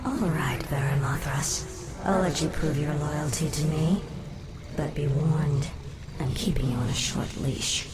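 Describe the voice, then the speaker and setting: An actress playing an embittered undead elf queen in a game cutscene, imperious, deliberate and coldly scornful. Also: sits low, steady tone, over loud music. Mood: contemptuous, wary. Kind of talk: video game cutscene acting.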